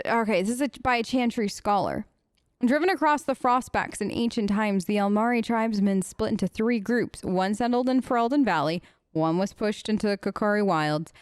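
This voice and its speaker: A woman's voice: medium pitch, clean tone, dry recording, studio quality. A woman hosting a game let's play, reading in-game lore aloud and breaking off with curious, puzzled questions. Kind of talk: gameplay commentary and reading in-game text aloud.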